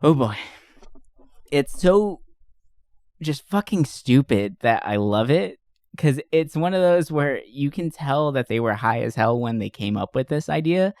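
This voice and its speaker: A man's voice: medium pitch, measured pace, no room sound. Young man podcasting, very dry close sound. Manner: animated storytelling with frequent swearing and slangy asides. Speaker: podcast host. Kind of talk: casual comedic movie recap.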